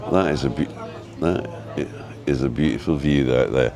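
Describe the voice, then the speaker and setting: A man with an easygoing, chatty and halting way of talking, casually rambling as he hosts a live vlog. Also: rumbling low, crowd noise. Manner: easygoing, chatty, halting as he reads and answers viewer comments. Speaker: live-streaming vlogger and host. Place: outdoors at a restaurant overlooking the road and ocean.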